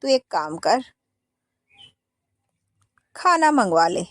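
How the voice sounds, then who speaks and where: A woman's voice: very animated, very slow. A woman voicing a hungry, weary old mother-in-law who grumbles. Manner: grumbling, low.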